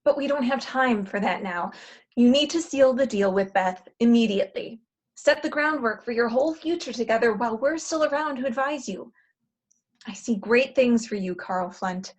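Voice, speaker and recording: Casting: actress for exasperated, directive female fixer, sardonic, fairly high voice, stage comedy read. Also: normal speed, lightly acted. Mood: urgent, encouraging. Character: bossy, exasperated female matchmaker from the future. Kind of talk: virtual table read of a comedy play.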